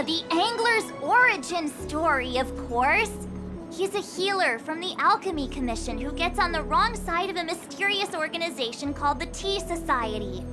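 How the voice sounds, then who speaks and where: An actress voicing a bubbly young woman who loves mystery novels, excitable and theatrical, quick to jump to wild theories, in a video game dub. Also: sits high. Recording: game cutscene with music behind.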